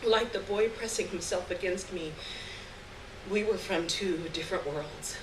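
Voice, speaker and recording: A woman giving an expressive dramatic reading of a literary short story live on stage, acting out the dialogue. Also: mid-range voice, a little slow. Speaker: story reader. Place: live stage event, recorded from a distance. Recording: roomy distant live recording, clear background noise.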